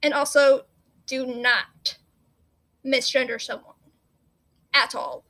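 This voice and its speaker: A woman's voice: high voice, steady tone, slow pace, bright, forceful tone. A woman chatting casually and explaining things, throwing in little fun facts. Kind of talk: casual chat.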